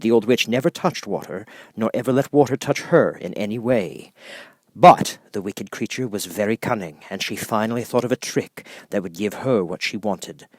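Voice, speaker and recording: A man reading scripted storybook narration as an audiobook narrator, in a measured, expressive storytelling manner. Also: on the low side, no echo.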